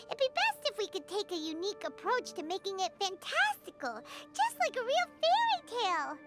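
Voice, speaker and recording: An excitable little fairy sidekick from a video game. The voice is squeaky and childlike, pitched extremely high and swinging in lively exclamations. Prominent game music plays underneath. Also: female voice, moderate pace. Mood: thoughtful. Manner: exclamatory, speaks of self in third person. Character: tiny floating fairy companion girl, bubbly, food-loving, excitable. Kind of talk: animated video game voice acting. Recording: game music playing behind. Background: loud playful game music.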